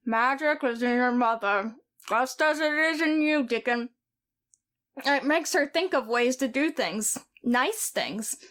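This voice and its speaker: A spoiled invalid boy, played by a woman, high and petulant, talking in insistent bursts. The voice sits very high. It is a young master who is used to giving orders, heard in an audio drama. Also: slow, a bit bright. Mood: warm, grateful. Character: sickly, imperious boy invalid, spoiled and fretful.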